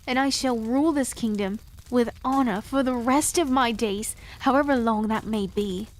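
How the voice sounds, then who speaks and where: A woman voicing a cursed young queen who speaks in a slow, formal, solemn way in a fantasy audio drama. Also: fairly high pitch, natural voice. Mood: resolute.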